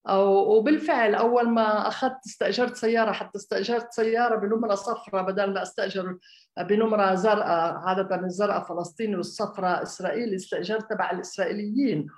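A woman's voice: mid-range voice, steady tone, very fast. A woman raised in Jordan, an architect giving a conversational public talk, hesitant, with many fillers and restarts. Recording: echoey room.

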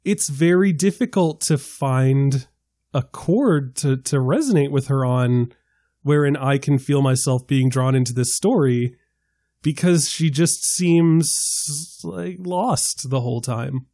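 A man's voice: medium pitch, takes it slowly, no echo, clean studio sound. A man on a film podcast, animatedly arguing a minority opinion with examples. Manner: animated, argumentative, builds his case with examples. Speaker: podcast panelist. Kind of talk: casual film discussion.

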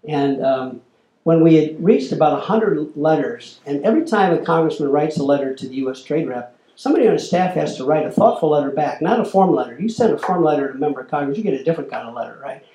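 Older man telling stories, gravelly voice. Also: fairly high pitch, fast, reverberant, poor audio. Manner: animated storyteller, acts out quoted exchanges. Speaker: lecturer, former corporate lobbyist. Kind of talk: storytelling lecture. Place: lecture hall.

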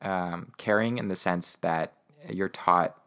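Young male guest, Canadian, native Ukrainian speaker. Deep pitch, enthusiastic and agreeable casual chat, doubles phrases for emphasis. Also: slow pace, a bit bright, clear, resonant tone. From Winnipeg, Canada. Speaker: interview guest, actor.

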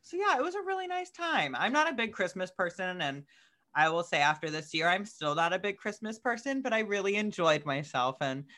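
A man chats casually as a podcast co-host, sharing everyday anecdotes. His voice is bright and forward, a touch higher than most men's. The sound is very dry, with no room on it. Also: expressive, brisk, no room sound. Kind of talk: casual chat, personal anecdotes.

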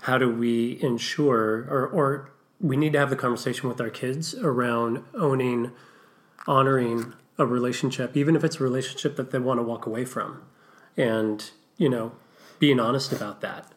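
A man's voice: medium pitch, moderate pace. A middle-aged man co-hosting a podcast, speaking earnestly and reflectively as a parent. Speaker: podcast co-host. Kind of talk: casual podcast discussion.

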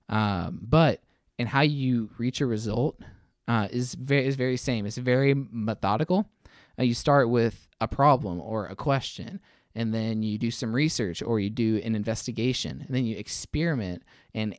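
A man in his thirties speaks as an interview guest at a medium pitch. His delivery is conversational and halting, with words often restarted, and the sound is dry with almost no room echo.

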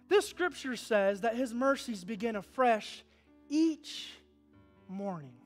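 Man in his thirties, pastor, high voice, lively preacher, faint music under him. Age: 30s. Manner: animated preaching, swinging from hushed to loud; call-and-response with the congregation. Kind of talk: sermon.

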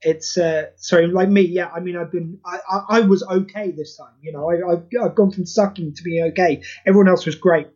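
A man talking as a guest on a podcast about the charity audio play he wrote, candid, self-deprecating and quick to swear. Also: high-pitched, steady tone, darkish tone, lo-fi sound. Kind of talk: casual podcast interview.

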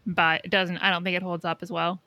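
A woman's voice: lowish voice, little pitch movement, fairly quick, pushed and bright, no echo. Blunt woman chatting, dry close sound. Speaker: podcast co-host. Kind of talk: casual film discussion.

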